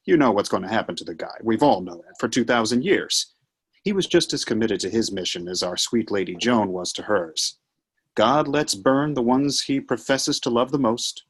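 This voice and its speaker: Guy playing the devil, low n husky, sardonic tempter doing stage monologue, showy and mocking. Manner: mocking, showy, conversational asides. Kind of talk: dramatic monologue in a play table read.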